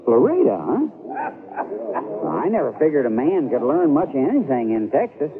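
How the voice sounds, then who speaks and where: An actor playing a talkative, unschooled frontier deputy in a radio Western, with a rustic, halting drawl. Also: male voice, mid-range voice, huge pitch swings. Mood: folksy, curious. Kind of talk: old-time radio Western acting. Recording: narrow-band radio recording, crowd murmur behind.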